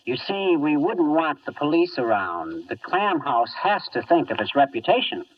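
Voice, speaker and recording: A fussy, smooth little crook with a high voice that swings widely up and down. He is prim and mock-courteous, heard on a muffled vintage radio drama recording. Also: very animated, moderate pace, no echo, distant mic. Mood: silky menace. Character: fussy, polite kitchen man who is a smooth crook. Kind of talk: 1950s radio drama acting.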